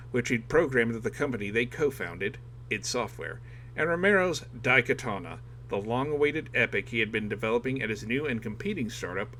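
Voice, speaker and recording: A man's voice: mid-range voice, fairly bright tone, a little hiss or hum. A man reading a nonfiction book aloud as dramatic scripted narration. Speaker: audiobook reader.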